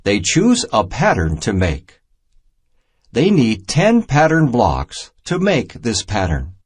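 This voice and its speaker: A man reads a simple children's lesson aloud at a slow, deliberate pace, setting each short phrase apart. His mid-pitched voice has a husky edge and moves up and down in a lively way as he narrates. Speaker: narrator of a children's math storybook. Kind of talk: scripted read-aloud narration, simple and instructional.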